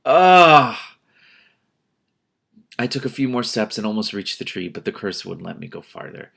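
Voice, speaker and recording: A man reading a novel aloud as host, with casual, exasperated asides in an expressive manner. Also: lowish voice, moderate pace, gravelly. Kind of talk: audiobook-like reading with casual reactions.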